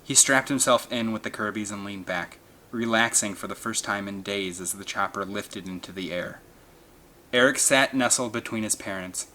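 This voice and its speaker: Forceful low-voiced male storyteller. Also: normal speed, a little hiss or hum, rough recording. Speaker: audiobook narrator. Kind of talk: dramatic scripted narration of an adventure novel.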